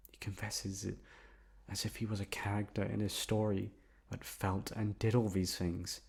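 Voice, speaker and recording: A man voicing an obsessive, jealous, lovesick young man in an intimate ASMR roleplay, soft-spoken, wounded and menacing. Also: fairly low pitch, level tone, moderate pace, vocal fry, breathy edge. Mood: confessing, anxious.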